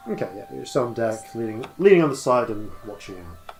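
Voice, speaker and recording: A man narrating a tabletop game as game master, improvising in a halting way as he thinks aloud. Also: medium pitch, sing-song, darkish tone, soft background music.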